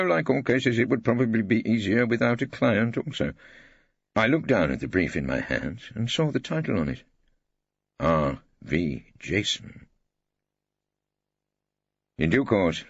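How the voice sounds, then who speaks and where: Low-pitched old English barrister, acted by a man, husky, wry, pompous, ornate audiobook narration, dull muffled sound. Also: no room sound, full character voice. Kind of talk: scripted first-person audiobook narration.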